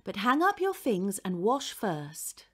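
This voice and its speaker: A woman's voice: medium pitch, big pitch swings, slow, fairly bright tone, slightly rough, full character voice. A woman narrator plays a kindly mother in a storybook. She speaks tenderly and reassuringly, with cheerful affection for her young daughter.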